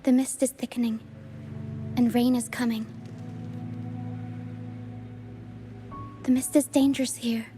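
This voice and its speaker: A woman acts out a young female guide from a video game troupe, high in pitch. She is earnest and soft-spoken, with a playful stage flair, over background music. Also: flat delivery, moderate pace, natural voice. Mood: grave, explanatory. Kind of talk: video game dialogue acting.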